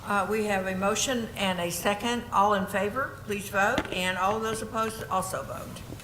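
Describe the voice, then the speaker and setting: Hoarse woman chairing a board meeting. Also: mid-range voice, fairly even intonation, moderate pace, noisy background. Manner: procedural, with frequent ums and self-corrections. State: losing her voice, clearing her throat. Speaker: board chair presiding over a public meeting. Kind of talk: formal meeting procedure, reading agenda and script.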